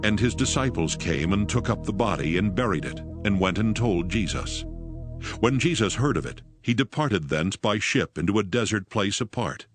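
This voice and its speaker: Low-voiced male scripture narrator. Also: expressive, normal speed. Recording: music bed behind voice. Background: music.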